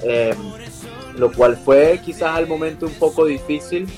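Young Venezuelan male singer, remote guest, hesitant and filler-heavy, soft music under him. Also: a little high, measured pace. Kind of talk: interview over a video call. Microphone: video call.

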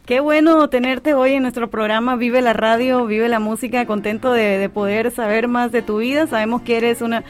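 Higher-voiced woman radio host. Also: fairly even intonation, moderate pace, hardly any pauses. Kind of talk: radio interview hosting. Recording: radio studio, faint music underneath. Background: faint background music.

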